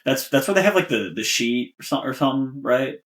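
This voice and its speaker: A young American man chats as a podcast co-host in an ordinary mid-pitched voice. He is agreeable and supportive, chiming in casually on what his partner says. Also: animated, a little fast, fairly bright tone. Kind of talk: casual chat.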